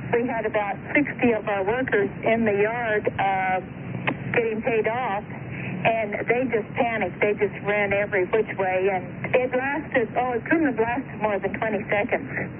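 A woman's voice: medium pitch, fairly even intonation, unhurried. Woman on noisy phone line. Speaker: eyewitness interviewed by radio news. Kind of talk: unscripted spoken account. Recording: noisy, tinny telephone line.

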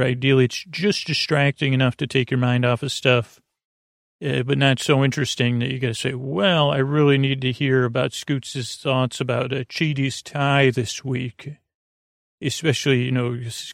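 A man with a creaky voice hosting a bedtime podcast, talking in a meandering, halting monologue full of self-interrupting asides. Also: mid-range voice, dry recording, clean studio sound. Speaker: podcast host.

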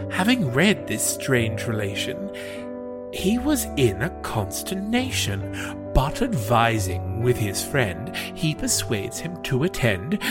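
A man reciting poetry in a measured, formal, old-fashioned dramatic style. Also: mid-range voice. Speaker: poetry reader. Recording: music under the voice. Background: music.

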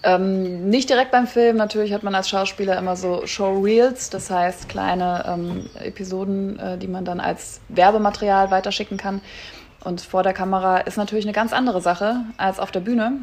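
A woman's voice: mid-range voice, steady tone, normal speed, darkish tone. A woman, a stage actress, answering questions as a guest in casual interview chat.

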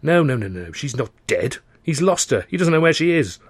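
A man's voice: medium pitch, animated, moderate pace, pitched above the performer's own voice, natural voice. A man voicing a lovelorn young music-hall comic in an audiobook, mournful, blunt and dismissive.

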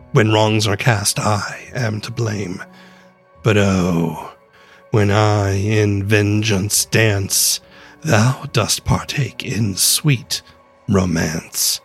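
A man's voice: lowish voice, creaky, a bit bright, husky, heavily acted. A man voicing a vengeful, seductive spirit of wrath in a grandiose, solemn poetic monologue with archaic wording.

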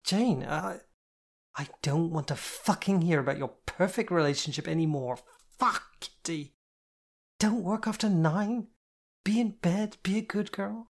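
A woman's voice: normal speed, vocal fry, a little mellow. A woman voicing a drunk, tearful young woman who screeches in fury during a fight. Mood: screeching. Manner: shrill, screeching, sneering.

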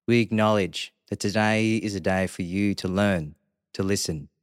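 A man's voice: fairly low pitch, no room sound, studio quality. A man from Australia giving a formal, scripted acknowledgement of country and introducing the episode.

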